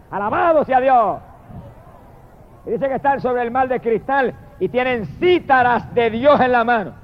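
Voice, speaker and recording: A man's voice: extremely high pitch, a little hiss or hum. A man preacher delivering a fervent evangelical sermon in an emphatic, declamatory way, drawing out exalted phrases and addressing listeners directly. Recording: dull lo-fi sermon recording.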